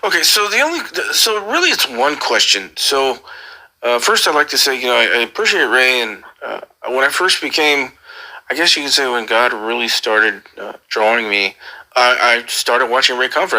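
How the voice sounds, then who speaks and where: A man's voice: mid-range voice, lively intonation, moderate pace, bright, forceful tone, poor audio. A man on a casual call, asking earnest questions in a hesitant way and often repeating his phrases. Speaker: caller.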